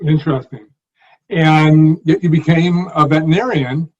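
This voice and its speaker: A man's voice: fairly high pitch, fairly even intonation, normal speed, darkish tone. A man hosting an interview, asking engaged, friendly questions. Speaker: host. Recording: dull lo-fi audio.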